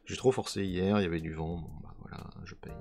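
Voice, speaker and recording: A man with a hoarse voice casually commenting as he unboxes trading cards, often trailing off while he tries to recall names. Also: deep voice, steady tone, fast talker, dark tone, soft background music. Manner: musing, trails off hunting for names. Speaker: card unboxing video host.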